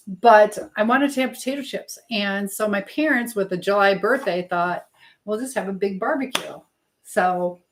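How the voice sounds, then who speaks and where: A woman hosting a casual live stream while she cooks, chatting informally to viewers and reading out their comments. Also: mid-range voice. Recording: clear background noise and clicks.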